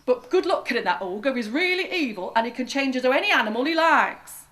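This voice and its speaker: Overworked storybook field workers, speaking loudly and bluntly, as a woman voices them. A fairy tale told aloud for children. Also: sits high, animated, brisk, pitched above the performer's own voice. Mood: grim warning.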